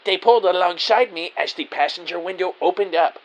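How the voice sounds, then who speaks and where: An actor playing an excitable, anxious amateur spy who narrates his own story in an audio drama. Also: high-pitched, fairly quick, poor audio. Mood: panicked narrating. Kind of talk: scripted first-person story narration. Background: music.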